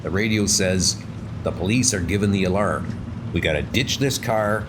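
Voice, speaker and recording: A man's voice: sits low, moderate pace, lightly acted. A man voicing a hard-boiled gangster convict leader who gives bossy, clipped orders in a horror comic dub, with rain noise behind. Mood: threatening, bossy. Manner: bossy, clipped tough-guy talk.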